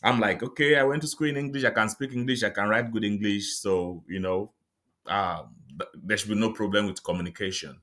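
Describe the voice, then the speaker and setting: A middle-aged Nigerian man whose first language is Yoruba, speaking as an interview guest in a measured, reflective way with light laughs. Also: lowish voice, fairly even intonation, fairly bright tone. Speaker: guest, psychiatrist and author. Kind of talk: interview conversation.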